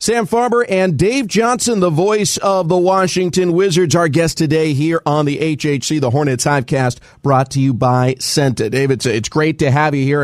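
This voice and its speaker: Higher-voiced man hosting a sports podcast. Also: no room sound, clean studio sound. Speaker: podcast host, sports interviewer. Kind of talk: conversational sports podcast interview.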